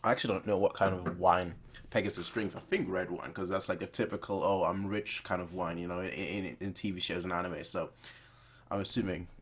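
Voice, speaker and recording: A man's voice: deep voice, steady tone, a little fast, husky. A young man hosting a reaction video, chatting casually to viewers in a rambling, playful way. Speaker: host of a reaction video channel.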